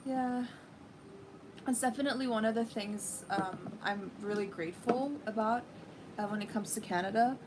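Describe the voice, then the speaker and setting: A woman's voice: a little high, normal speed, background noise. Chatty young woman, Farsi native speaker. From Iran. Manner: chatty and sympathetic, with many fillers. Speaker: livestream host. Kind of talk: casual chat with viewers. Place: living room with a red sofa, indoors.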